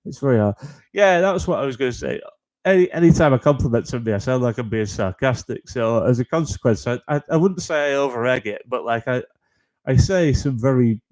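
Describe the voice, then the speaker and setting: A man hosting a live comedy stream, rambling in a flat deadpan tone, with lots of ums, restarts and swearing. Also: mid-range voice. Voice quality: deadpan, flat-toned delivery. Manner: self-deprecating, frequent ums and restarts, sweary. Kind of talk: rambling stand-up style chat on a live stream.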